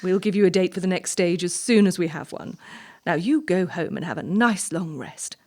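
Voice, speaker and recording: A woman playing a ruthless, entitled young assessor in a stage play, brisk, condescending and coolly domineering under a veneer of charm. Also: fairly low pitch, darkish tone. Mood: brisk false kindness.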